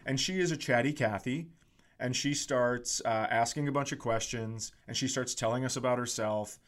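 A man's voice: medium pitch, moderate pace. A man hosting a comedy podcast, telling casual stories with profane, exaggerated ranting.

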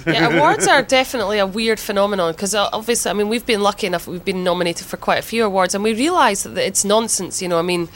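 A woman's voice: mid-range voice, lively intonation, fast, hardly any pauses. A woman in an interview as a band singer, speaking candidly, laughing often and swearing freely, with a Scottish accent. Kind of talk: casual interview banter.

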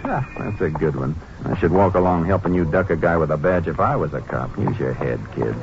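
Low-voiced laconic Texas Ranger. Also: male voice, vocal fry. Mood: cool, steadying. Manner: laconic, unhurried, folksy. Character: tough, calm male Texas Ranger posing as a drifter. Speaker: lead actor and narrator. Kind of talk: 1950s western radio drama acting and narration. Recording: muffled old-time radio recording with music.

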